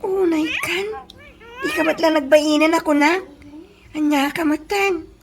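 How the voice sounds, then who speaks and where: A woman's voice: sits high, unhurried. An actress playing an outspoken, protective young woman in a radio comedy drama, emphatic and scolding, on a noisy track. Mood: indignant. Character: outspoken young female friend, protective and indignant.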